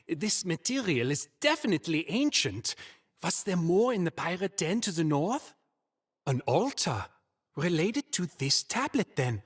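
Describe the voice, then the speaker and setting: A woman voicing an eager, eccentric scholar of ancient relics in a fantasy video game, with a halting, conspiratorial manner. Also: lowish voice, breathy edge, heavily acted.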